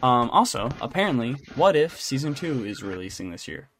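A man's voice: medium pitch, very animated, brisk, fairly bright tone, slight background noise. A man co-hosting a podcast, chatting casually about entertainment news in an irreverent, joking, sometimes profane way. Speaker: podcast co-host.

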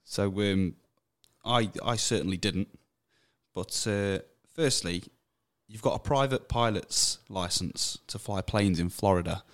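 A very deep-voiced man hosts a relaxed podcast chat. He steers the talk in an easygoing way with short, encouraging replies. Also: a little slow. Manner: easygoing, brief encouraging interjections. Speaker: podcast host. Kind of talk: casual interview.

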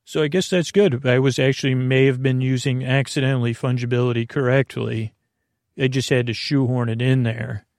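A man talks through a wandering bedtime monologue, drifting from tangent to tangent and cutting himself off mid-thought. His voice has a gravelly, fry-tinged edge at a middling pitch. The sound is dry and close, with no room around it. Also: fairly even intonation, darkish tone, no echo. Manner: meandering, self-interrupting, tangents; low-key, unhurried delivery. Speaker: podcast host.

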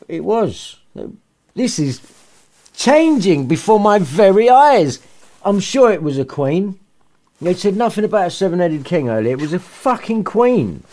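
A man narrates a story in a somewhat high, very animated voice, dropping in sarcastic, sweary asides. His delivery bounces between reading and joking.

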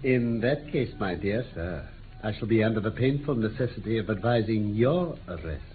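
A man's voice: on the low side, animated, moderate pace, natural voice. Man acting an impish, urbane British detective, old muffled radio. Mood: sly, polite. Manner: courteous, theatrical, mock-deferential. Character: impish, urbane male detective, theatrical and self-assured. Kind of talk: 1950s radio drama acting. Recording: muffled, noisy 1950s radio recording.

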